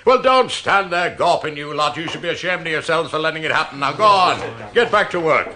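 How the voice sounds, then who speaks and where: An actor playing a pompous, cantankerous old accounts manager in a radio drama, bossy, petty and needling. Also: male voice, fairly high pitch, expressive, brisk, bright, forceful tone. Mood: bossy, indignant.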